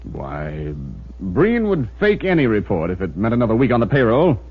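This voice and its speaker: An actor playing an earnest, defensive American police inspector in an old radio drama, emphatic and easily flustered. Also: male voice, medium pitch, big pitch swings, normal speed, breathy edge. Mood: irritated. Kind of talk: mid-century radio drama acting. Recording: muffled, dull old radio recording.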